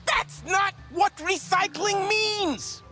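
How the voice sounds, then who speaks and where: A woman's voice: extremely high pitch, slow, shouting, over loud music. A woman playing an earnest, childlike young nature lover in a video game. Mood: earnest, indignant. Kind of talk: video game cutscene acting.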